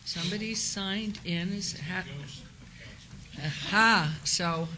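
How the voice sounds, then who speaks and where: A woman with a hoarse voice from a cold, chairing a formal council meeting in city chambers and reading procedural announcements into a desk microphone. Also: mid-range voice, slow pace, a crowd in the background. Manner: reads a set script, then chats lightly with colleagues.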